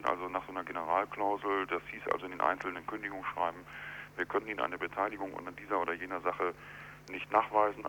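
A man speaking as an architect in a telephone interview, explaining in a measured, deliberate, argumentative way. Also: sits low, pushed and bright. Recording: dull telephone line with clear hum.

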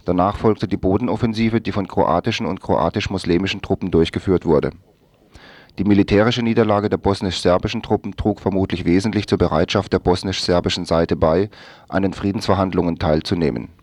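A man reads scripted radio news in a low voice. The sound is very dry, with hardly any room around it. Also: fairly even intonation, brisk, a little mellow, dry recording.

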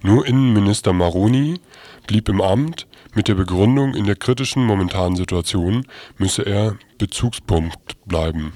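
A man's voice: fairly low pitch, normal speed, dark tone, no echo. A man reading scripted news as a radio newsreader.

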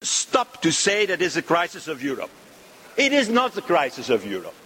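A man's voice: on the high side, wildly swooping pitch, normal speed, bright, forceful tone. A man making an impassioned political statement.

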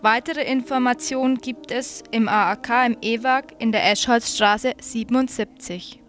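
A woman's voice: a little high, steady tone, moderate pace, fairly bright tone, soft background music. A woman radio presenter reading out event announcements for listeners.

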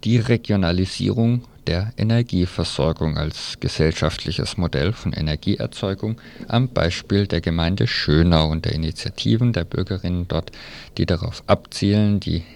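Low voiced guy with lots of creak, reading a radio schedule as host. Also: normal speed, darkish tone. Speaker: radio host announcing the programme. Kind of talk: scripted programme announcement.

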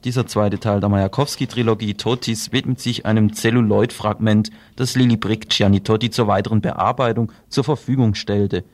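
A man's voice: fairly low pitch, a little mellow. A man presents film tips on radio in a matter-of-fact, explanatory way, partly reading aloud.

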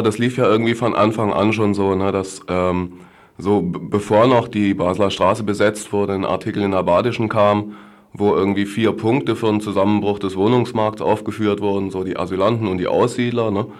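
Man, low voice, little pitch movement, activist interviewee, casual, blunt, colloquial, frequent tag questions. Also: darkish tone. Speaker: activist interview guest, demonstration participant.

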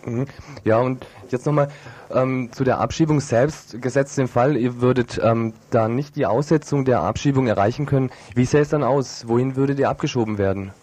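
A man with a medium-pitched voice speaks as a radio interviewer and reporter. His delivery is that of community news, moving between questions and scripted report. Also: moderate pace, darkish tone.

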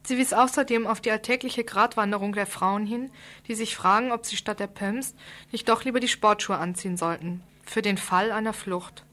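A woman's voice: mid-range voice, normal speed, a bit bright. A woman presenting a scripted radio report, reading it out in an earnest, formal way.

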